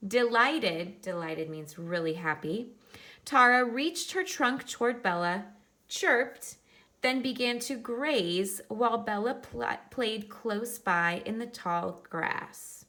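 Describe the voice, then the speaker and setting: A woman's voice: on the high side, expressive, takes it slowly, sharp tone. A woman teacher reading a nonfiction book aloud for children, instructive and explaining things in simple terms.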